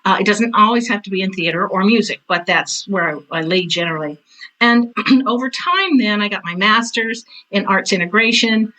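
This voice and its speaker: Woman, 60s, podcast guest: bright, forceful voice, mid pitch, chatty and emphatic storyteller. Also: normal speed, low-quality recording. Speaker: interview guest, retired theater teacher and director. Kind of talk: casual conversational storytelling.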